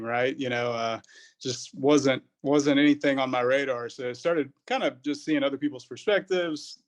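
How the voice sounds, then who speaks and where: A man in his thirties, a pharmacist guest on a podcast, answering casually in a rambling way. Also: mid-range voice. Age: 30s. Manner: rambling, many fillers and restarts.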